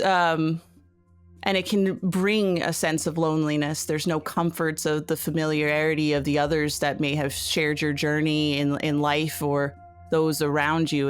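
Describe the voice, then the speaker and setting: A woman game master narrating a tabletop story in a halting, thoughtful way, with atmospheric phrasing and questions to the player. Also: low-pitched, smooth. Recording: music under the voice. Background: background music.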